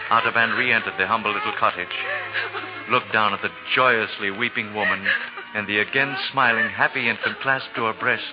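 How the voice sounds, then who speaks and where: A man's voice: on the low side, steady tone, moderate pace. A man giving solemn, theatrical scripted narration in the style of 1940s radio drama. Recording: muffled old radio, loud music behind. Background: loud music.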